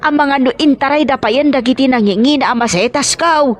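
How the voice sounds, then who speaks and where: A woman's voice: sits high, fairly quick, close to an everyday voice. A woman with an Ilocano accent playing a grumbling, gossipy older mother-in-law in a comedy drama.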